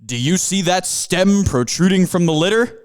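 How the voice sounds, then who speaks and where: A man's voice: high voice, lively intonation, moderate pace, clean tone. A man voicing a theatrical, upbeat panther mascot who leads students through time. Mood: grand.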